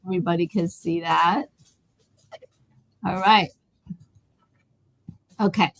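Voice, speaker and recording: A woman's voice: on the low side, fairly even intonation, takes it slowly, gravelly, fairly bright tone, no room sound, low-quality recording. Chatty woman hosting a live interview. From Taiwan. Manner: chatty, with many fillers and restarts. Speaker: host.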